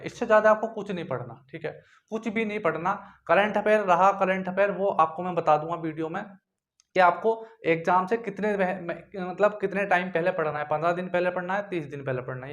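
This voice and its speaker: A young man giving informal study instructions to viewers, repeating himself and often adding little check-in tags. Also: a little high. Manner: repetitive, frequently checks in with tag phrases. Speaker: exam-preparation tutor. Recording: dull lo-fi sound.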